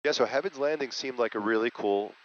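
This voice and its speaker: A man's voice: mid-range voice, quick pace. A man who is a pilot, chatting casually and asking questions in the cockpit of a small jet. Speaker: pilot, host of flight vlog. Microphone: aviation headset. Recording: dull headset audio with clear noise.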